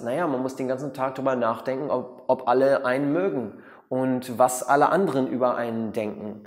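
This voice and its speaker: A man's voice: medium pitch, darkish tone, in a large hall. A man in his thirties from Australia, speaking German as his second language with an English-speaking accent, explaining to camera with frequent fillers and restarts. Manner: hesitant, many fillers and restarts, mixes in English words. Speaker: meditation teacher. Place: bright room with plants, indoors. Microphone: lapel mic.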